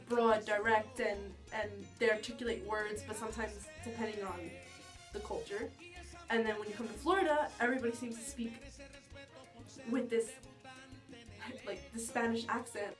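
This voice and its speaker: A woman's voice: on the high side, moderate pace, recorded from a distance, music in the background. A woman from New York answering interview questions casually, hesitant, restarting her sentences and saying "like" often.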